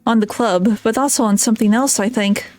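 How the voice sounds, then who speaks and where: A woman reading a gentle, caring teenage girl in a soft, halting voice. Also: fairly high pitch, lightly acted.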